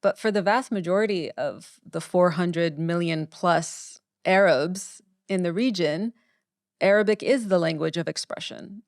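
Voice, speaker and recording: Smooth-voiced woman writer, close and dry. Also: on the low side, a little mellow, no echo. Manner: thoughtful, repeats words while forming ideas. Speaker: writer, podcast guest. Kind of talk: candid conversational interview.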